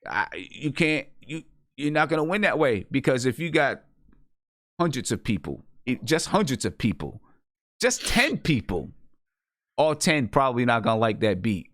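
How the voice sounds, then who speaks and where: A man's voice: mid-range voice, sing-song, normal speed, fairly bright tone, dry recording, clean studio sound. A man hosting a music show, talking casually as a beat maker and repeating his phrases for emphasis. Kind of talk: casual chat about music.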